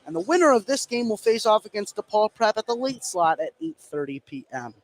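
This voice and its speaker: A man's voice: high voice, big pitch swings, fairly quick. A man giving live play-by-play commentary on a basketball game, as on sports radio. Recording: clear game noise behind the voice. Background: gym ambience of a basketball game.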